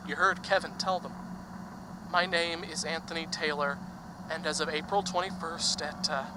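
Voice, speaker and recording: Man doing a paranoid, insistent young guy for an audio drama, high pitched, noisy background. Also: fairly even intonation, moderate pace, natural voice. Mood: hushed. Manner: agitated and insistent, turning hushed and confiding. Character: paranoid young man who fears his friends are inhuman. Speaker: lead character. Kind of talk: amateur audio drama acting.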